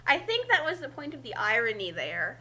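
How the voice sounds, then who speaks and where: A woman's voice: high voice, huge pitch swings, fairly quick, sharp tone, slightly rough. A woman co-hosting a casual group reading, bantering and commenting in a theatrical, mock-dramatic way. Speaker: co-host of a group fan-fiction reading. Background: background music.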